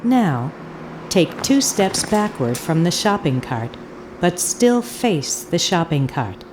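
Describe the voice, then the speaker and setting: A woman playing an eerie audio tour guide in an audio drama, giving deliberate instructions with hypnotic repetition. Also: lowish voice, big pitch swings, background noise. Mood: calm, commanding. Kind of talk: scripted audio drama narration. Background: ambient field recording and foley sounds.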